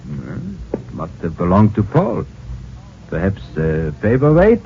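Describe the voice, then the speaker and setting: German-accented professor, deliberate, secretive, lowish pitch, vintage radio drama, thin narrow sound. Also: male voice, wildly swooping pitch, vocal fry, exaggerated voice. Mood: matter-of-fact. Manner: formal, deliberate, halting. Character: German émigré male scientist, measured and secretive professor. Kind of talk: old-time radio acting. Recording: tinny, noisy old radio recording.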